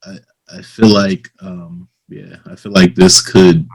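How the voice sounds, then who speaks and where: Hesitant, slow, deep-voiced man. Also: fairly even intonation, gravelly, rough recording. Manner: hesitant, halting delivery. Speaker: interviewer. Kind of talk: casual interview.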